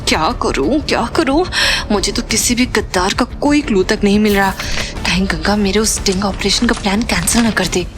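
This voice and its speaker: An actress playing an earnest, anxious young woman in an audio drama, with emotional, expressive delivery. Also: medium pitch, normal speed, over music, close to an everyday voice. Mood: worried, suspicious. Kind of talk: Hindi audio drama acting.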